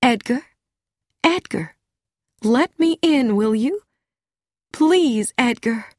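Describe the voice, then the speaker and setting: A woman voicing a gentle, unmoved lady who speaks softly and soothingly in an audiobook. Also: mid-range voice, lively intonation, takes it slowly, no echo, studio quality. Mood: gentle pleading. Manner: soft-spoken, soothing.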